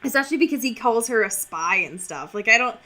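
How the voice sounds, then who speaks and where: A woman co-hosting a podcast, casually recapping a book in a sarcastic, giggly, expressive way. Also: fairly high pitch, fast, crowd noise. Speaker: podcast co-host.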